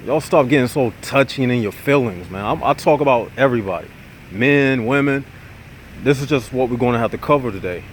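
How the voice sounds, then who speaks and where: A man holds forth to his viewers, calling them y'all and building up his points like a street preacher. His voice is a little nasal from congestion, pitched in an ordinary middle range, with a faint hum of a vehicle behind him. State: nasal, getting over congestion. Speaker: channel host. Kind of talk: casual monologue to viewers.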